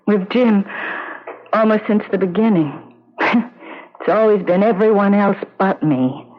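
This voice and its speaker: A woman's voice: medium pitch, measured pace, close to an everyday voice. An actress voices an anguished middle-aged wife in a radio play. She is regretful and devoted, hesitating and repeating words as she confides. The recording is muffled, vintage and lo-fi. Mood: wistful regret. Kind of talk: 1970s radio drama acting.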